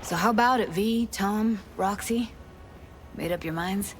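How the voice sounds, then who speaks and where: A woman's voice: mid-range voice, a little slow, a little hiss or hum, close to an everyday voice. An actress playing a determined young techie woman rallying her crew in a video game, talking in clipped, informal street talk. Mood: resolute.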